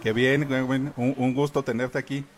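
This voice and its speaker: A man's voice: mid-range voice, little pitch movement, moderate pace, slight background noise. A man hosting a podcast interview, asking loose, friendly questions full of fillers. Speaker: podcast host.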